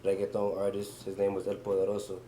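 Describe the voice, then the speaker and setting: A man's voice: deep voice, monotone, quick pace, warm tone, a little hiss or hum. A young man, a guest music artist, chatting casually in an interview with loose slangy talk and a lot of "you know what I'm saying".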